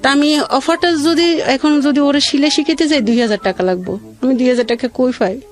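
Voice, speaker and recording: A woman's voice: sits high, fairly quick, soft background music. Plaintive Bangladeshi woman telling her story. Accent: regional colloquial Bangladeshi. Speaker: guest telling own life story. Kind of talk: emotional personal storytelling.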